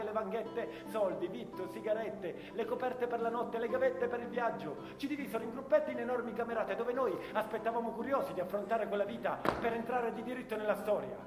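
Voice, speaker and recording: A man's voice: sits high, lightly acted. Man acting impassioned young soldier, echoing hall reverb. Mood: earnest narration. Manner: impassioned, rhythmic, declamatory, piling up lists. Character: disillusioned eighteen-year-old Italian volunteer soldier of the First World War. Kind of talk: theatrical stage monologue. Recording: reverberant radio-theatre sound with guitar music.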